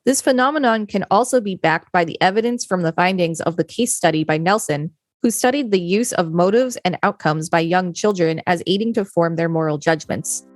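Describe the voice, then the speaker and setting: A woman's voice: fairly low pitch, a little fast, hardly any pauses, dry recording, clean studio sound. A woman hosting a podcast and reading an encyclopedia article aloud. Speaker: podcast host.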